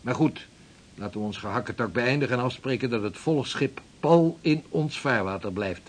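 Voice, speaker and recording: A solemn, authoritative explorer of centuries past, played by a man in a radio drama. He is devout and driven, speaks formally and has an ordinary middle-pitched voice. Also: normal speed, natural voice. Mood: commanding, impatient. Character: driven, devout 16th-century male Dutch Arctic explorer. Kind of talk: historical radio play acting.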